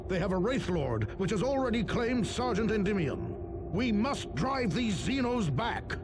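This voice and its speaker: High-pitched, theatrical space marine mystic. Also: male voice, big pitch swings, unhurried, very noisy. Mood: urgent, grim. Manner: formal, earnest, theatrical declamation. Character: noble, embattled male space marine battle psyker. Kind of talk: dramatic video game acting.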